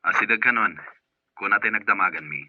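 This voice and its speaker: A man's voice: fairly low pitch, fairly quick, no room sound, dull audio, close to an everyday voice. A man acting as an earnest, courteous male boss in an Ilocano radio drama. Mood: businesslike.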